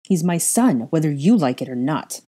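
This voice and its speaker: A woman narrator acts out a proud, hot-tempered young father from an audiobook. The voice sits low and dark, with a husky grain. The delivery is terse, growling and confrontational. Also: lively intonation, normal speed. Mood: defiant, dark. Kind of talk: acted audiobook dialogue.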